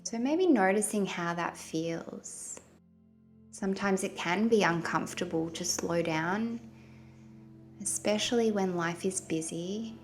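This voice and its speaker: A woman leading a guided meditation in a gentle, soothing manner with long pauses between phrases. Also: medium pitch. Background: soft background music.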